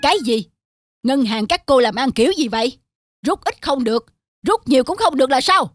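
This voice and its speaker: A man's voice: very high voice, lively intonation, moderate pace, a bit bright, dry recording. A man voicing a stubborn, wily middle-aged customer in a cartoon, insistent and matter-of-fact. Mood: angry. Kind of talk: cartoon dubbing. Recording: music under the voice.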